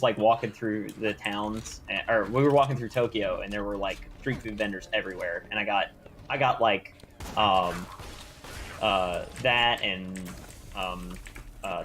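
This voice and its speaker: A man's voice: fairly low pitch, a bit bright, slight background noise. A young man co-streaming, chatting casually and with enthusiasm, often calling his friend "dude". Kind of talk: casual chat on a live co-stream.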